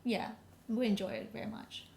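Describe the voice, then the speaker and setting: A woman's voice: medium pitch, fairly even intonation, moderate pace, creaky. A woman from Vietnam, co-hosting a podcast with her husband in casual, phrasing. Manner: warm, non-native phrasing, frequent laughs. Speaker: podcast co-host. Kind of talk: casual chat.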